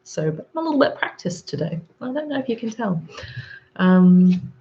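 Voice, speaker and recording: A woman in her thirties with a sore throat and a husky voice, hosting a live stream, chatting casually and rambling with frequent ums. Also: fairly low pitch, very animated, normal speed, dark tone, highs cut off. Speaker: livestream host. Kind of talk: live chat reading viewer comments.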